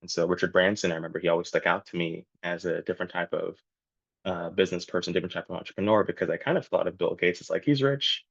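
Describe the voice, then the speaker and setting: A man's voice: low-pitched, fairly even intonation, fast, vocal fry, husky, no room sound. A young man from Jamaica with no accent, giving an earnest, casual webinar talk full of "you know" and "like". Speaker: guest speaker, entrepreneur. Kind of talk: webinar presentation. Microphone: video call. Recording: dull, lo-fi, dry call audio.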